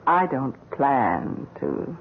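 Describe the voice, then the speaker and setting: An actress playing a scheming, coolly menacing housekeeper in an old-time radio drama, speaking with composed, insinuating mock deference. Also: female voice, very deep voice, very animated, very slow, background noise, exaggerated voice. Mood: sly, menacing. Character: scheming, coolly menacing female housekeeper blackmailing her employer. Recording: muffled, narrow-band old radio recording.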